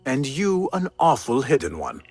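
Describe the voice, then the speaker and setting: An actor playing a troubled, earnest young assassin in a video game. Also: male voice, medium pitch, huge pitch swings, normal speed, slightly rough, heavily acted. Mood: resolute, wry. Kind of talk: dramatic video game dialogue. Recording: loud music behind.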